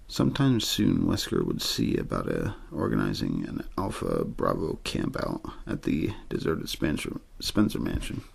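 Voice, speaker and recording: A man reading a novel aloud as a quiet ASMR bedtime story, in an understated, untheatrical way with a low, gravelly, husky voice.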